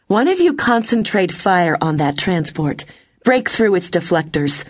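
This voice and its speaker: A woman's voice: fairly low pitch, sing-song, measured pace, husky, exaggerated voice. A woman voicing a sadistic, gloating female warship commander in a space audiobook, menacing and smug. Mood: ruthless orders.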